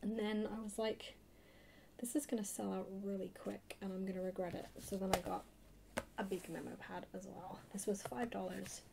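A soft-spoken woman chatting casually in a rambling way for an ASMR haul video. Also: mid-range voice, level tone, normal speed. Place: indoors at a table, hands-only camera. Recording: clear background hiss or noise.

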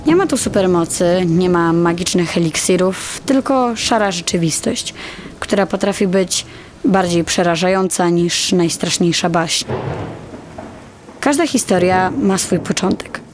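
A young woman playing a shy, insecure high-school girl who tells her own story in an audio drama, quiet and confiding. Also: lively intonation, a little slow, noisy background. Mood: reflective. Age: teenager. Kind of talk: audio drama first-person narration. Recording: music bed under the voice.

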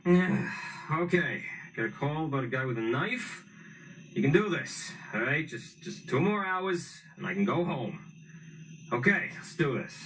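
A man's voice: medium pitch, sing-song, measured pace, a bit bright, recorded from a distance, soft background music, rough recording. A man voicing a nervous, clumsy police officer who stammers and panics.

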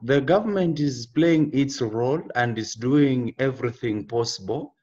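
A man's voice: mid-range voice, a little slow, a little mellow, highs cut off. A young man from Zambia with a Zambian accent, talking formally and steadily on a video call interview, often repeating his key phrases. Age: young adult. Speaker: interview guest. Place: indoor room with exposed roof beams.

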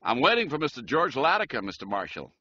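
Man playing a brisk, forceful, confident detective. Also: mid-range voice, very animated, muffled recording, slight background noise, voice pushed up. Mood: businesslike. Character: gimlet-eyed, confident, businesslike male detective.

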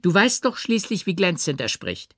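A male narrator acts out an effusive society hostess in a literary audiobook. She is bossy and exclamatory, her pitch lifted high. The recording is very dry. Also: big pitch swings, normal speed, a bit bright, no room sound, heavily acted. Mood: scolding, gushing. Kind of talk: audiobook character reading.

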